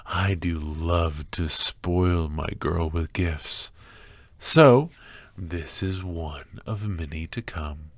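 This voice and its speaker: A very low male voice, close and dry, a man acting a sinister, lovestruck kidnapper for an ASMR roleplay. He speaks slowly and softly, slipping into breathy whispers, doting on his captive with unsettling devotion. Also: no echo, full character voice. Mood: adoring. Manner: soft, halting delivery with breathy whispers and sighs. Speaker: voice actor.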